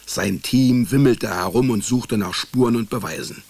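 An actor playing a wry, weary private detective, narrating his own story in a dry, laconic, deadpan way for a radio drama. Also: mid-range voice, moderate pace, gravelly, slightly rough, no echo. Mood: sober, deadpan. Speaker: narrator and lead character. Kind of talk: hard-boiled first-person radio drama narration.

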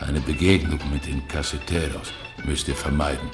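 Extremely deep, hulking arms dealer. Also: male voice, level tone, moderate pace. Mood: blunt, ominous. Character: huge, heavy male arms dealer, blunt and ominous. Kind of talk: radio play dialogue. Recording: loud music behind the voice.

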